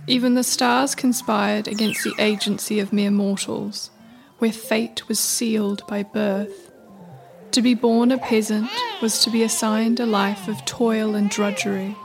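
A woman narrates in a scripted storybook style, very slowly and at a middle pitch. Faint music plays beneath her voice. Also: vocal fry.

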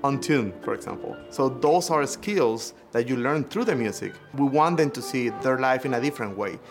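A young adult man from Venezuela with a Venezuelan Spanish accent, a conductor speaking earnestly and with measured hesitations about music education. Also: mid-range voice, expressive. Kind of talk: reflective personal testimony. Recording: interview over clear music. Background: instrumental music.

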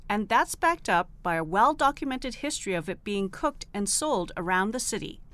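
A woman co-hosting a food podcast, reading scripted narration with a lively, expressive delivery. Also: medium pitch, normal speed. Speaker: podcast co-host.

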